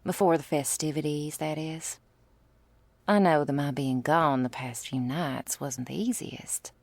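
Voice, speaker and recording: A woman's voice: deep voice, a little slow, no room sound. A woman playing a teasing, doting female vampire lover in an intimate ASMR roleplay, with whispery asides and quaint, affectionate wording. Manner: breathy asides, pet names, quaint turns of phrase.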